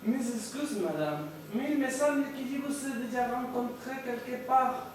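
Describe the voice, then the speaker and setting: A student with a Spanish accent playing a courteous, puzzled English gentleman on stage, speaking slowly. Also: sits high, close to an everyday voice. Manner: slow, courteous, with repeated puzzled remarks. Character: polite puzzled Englishman, Mr Martin. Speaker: language student actor. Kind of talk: amateur stage acting of an absurdist play. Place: large echoey hall on stage. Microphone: far room mic. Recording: echoey hall from far off, noisy.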